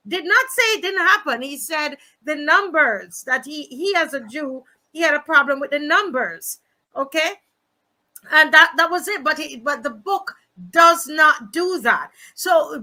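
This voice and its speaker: A woman's voice: sits high, pushed and bright. A woman hosting an interview, asking probing follow-up questions and recapping points for viewers. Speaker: interview host. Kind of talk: interviewing a guest.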